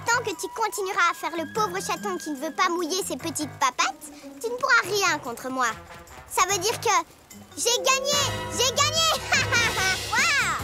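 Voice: extremely high pitch, animated, measured pace. A performer playing a gloating, bratty girl moon villain in a dubbed children's cartoon. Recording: music behind the voice.

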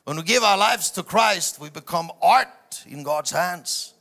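A man's voice: sits high, animated, unhurried. A middle-aged man, a native German speaker, preaching an emphatic sermon from a stage into a handheld microphone with dramatic swings in volume. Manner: emphatic delivery with dramatic swings from hushed asides to shouted phrases. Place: large church auditorium stage before a seated audience.

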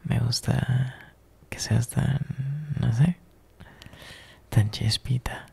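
Breathy, creaky man whispering flirtatiously. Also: medium pitch, little pitch movement, warm tone.

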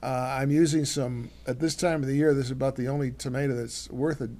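Older man, cooking show co-host, halting, rambling, lots of fillers, home kitchen. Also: mid-range voice, steady tone, dark tone. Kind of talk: casual cooking demonstration. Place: home living room, then kitchen.

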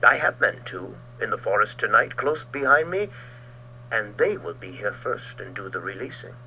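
A man's voice: lowish voice, big pitch swings, normal speed, pushed and bright, a little hiss or hum, exaggerated voice, rough recording. A man reading aloud and voicing a trapped forester who taunts his enemy savagely, snarling and mocking. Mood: menacing.

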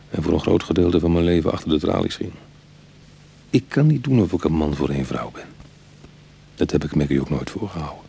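A man playing a roguish, world-weary reformed burglar in a radio drama, speaking in a musing, colloquial way with a husky, gravelly voice. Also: low voice, mellow, heavily acted. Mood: wistful, confiding. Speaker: actor in a radio play. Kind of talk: Dutch radio drama acting, dialogue and confessional narration.

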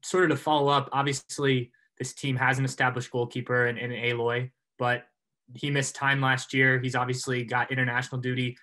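A reporter with a bright, forceful tone puts a methodical follow-up question to a player. He keeps his pitch level and even, with little rise and fall. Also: fairly quick. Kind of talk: press conference question.

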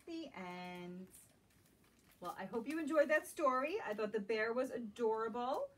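Woman, forties, high voice, very animated pitch, children's storytime reading, recorded from a little distance. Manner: slow, questioning asides to young listeners. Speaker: library storytime reader. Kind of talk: expressive picture-book reading for children. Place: small indoor room lined with bookshelves. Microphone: camera a few metres away. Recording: distant camera mic in a room.

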